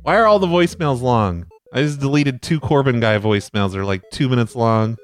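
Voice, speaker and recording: A man's voice: medium pitch, expressive, brisk, soft background music. A man hosting a comedy call-in show, teasing and sarcastic as he reacts to voicemails. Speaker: podcast host playing voicemails. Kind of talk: casual comedic banter.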